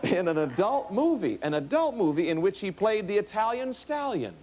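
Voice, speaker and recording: A man's voice: sits high, very animated, darkish tone, rough recording. A man hosting a TV game show, joking and teasing his celebrity panel in front of a studio audience. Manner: jokey, teasing, quick asides. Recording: studio audience and noise behind.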